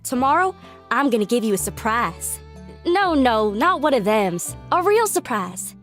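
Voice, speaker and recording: A woman voicing a brash, excitable young country gal with a folksy Southern US accent in a cartoon. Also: a little high, unhurried, faint music behind. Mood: eager.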